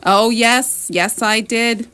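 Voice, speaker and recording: A woman's voice: fairly high pitch, steady tone, slow pace, fairly bright tone, clean tone. A woman playing a chatty, fretful middle-aged wife, rambling plaintively in a comedic radio sketch.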